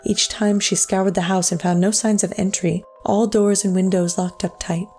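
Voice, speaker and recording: An actress playing a solemn storyteller, narrating a scripted dramatic tale in a measured, literary way. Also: female voice, medium pitch, level tone, a little mellow, soft background music, lightly acted. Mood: somber. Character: solemn female storyteller of a sad ghost tale.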